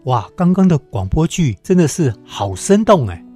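A man's voice: on the high side, big pitch swings, moderate pace, warm tone, husky, faint music behind. A man co-hosting a radio talk, responsive and exclamatory, prompting and affirming his partner. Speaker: radio co-host.

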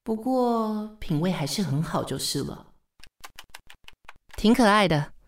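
An actress playing a sarcastic, long-suffering assistant in a radio drama, with a Taiwanese Mandarin accent and a teasing, weary manner. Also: female voice, mid-range voice, animated, unhurried, close to an everyday voice. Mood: sardonic. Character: sardonic, long-suffering female assistant to a fashion director. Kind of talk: radio drama acting with inner monologue.

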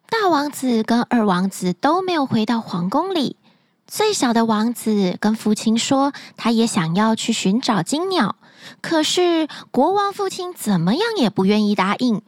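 Woman storyteller, high voice, very lively melody, bedtime tale, dry close recording. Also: dry recording. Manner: expressive, sing-song storytelling.

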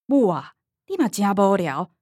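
A woman's voice: medium pitch, big pitch swings, measured pace, dark tone, slightly rough, dry recording, clean studio sound. An actress playing a middle-aged mother in a radio drama, chatty and complaining, with a Taiwanese accent. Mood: exasperated. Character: middle-aged mother, coaxing with daughters, exasperated with grandma.

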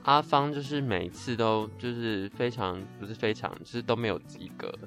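A young man of high-school age with a Taiwanese Mandarin accent, chatting and joking as a podcast co-host, repeating words for emphasis. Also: moderate pace, fairly bright tone, faint music behind. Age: teens to young adult, high school senior. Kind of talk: casual chat and storytelling.

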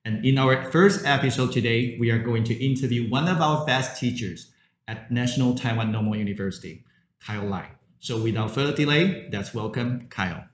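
A man, a professor hosting an English-learning podcast, giving clear, upbeat introductions and short follow-up questions. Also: medium pitch, brisk. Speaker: host, professor. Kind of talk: podcast interviewing. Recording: echoey room, faint background noise.

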